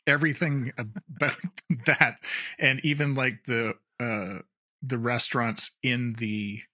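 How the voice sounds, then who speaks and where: A man's voice: mid-range voice, fairly even intonation, takes it slowly, sharp tone. A man hosting a podcast, chatting casually and asking playful, lighthearted questions. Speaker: podcast host. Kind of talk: casual conversation.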